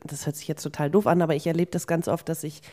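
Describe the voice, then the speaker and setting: A woman's voice: low voice, steady tone, fast, dark tone. A young adult woman in casual podcast conversation, speaking colloquially with the odd English word mixed in. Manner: colloquial, sprinkles in English words. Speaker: podcast co-host. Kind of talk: casual chat.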